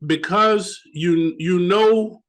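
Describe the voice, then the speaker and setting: An American man, a guest author in an interview, telling stories in an animated way and often repeating words as he searches for them. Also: high voice, very slow. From United States. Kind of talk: interview conversation.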